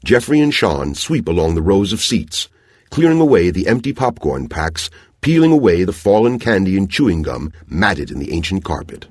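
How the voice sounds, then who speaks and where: Male projectionist narrator, very low pitch, animated intonation, measured, reflective, audio drama storytelling. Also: husky, dry recording, full character voice. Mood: scene-setting.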